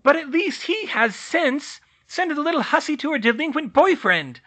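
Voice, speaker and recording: A man's voice: very high voice, sing-song, normal speed, voice pushed up, exaggerated voice. A man reading aloud voices a scornful, shrieking elderly great-aunt in a storybook. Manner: sneering, shrieking insults.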